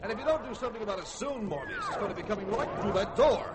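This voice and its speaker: Young space officer, highish voice, emphatic, commanding, earnest, old radio drama, clearly noisy recording. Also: male voice, animated, rapid-fire. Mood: accusing. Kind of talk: 1950s radio drama acting.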